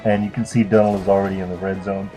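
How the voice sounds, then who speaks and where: A man's voice: sits low, level tone, quick pace, warm tone. A young man giving casual, unscripted video game commentary in a hesitant, rambling, offhand way. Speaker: let's play commentator. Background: video game music.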